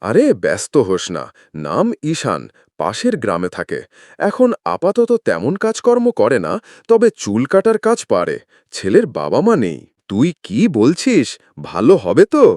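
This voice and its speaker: A man's voice: mid-range voice, a little mellow, dry recording, studio quality. A man playing plain-spoken, folksy village men in a cartoon fairytale. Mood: persuasive. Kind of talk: Bengali cartoon fairytale dialogue.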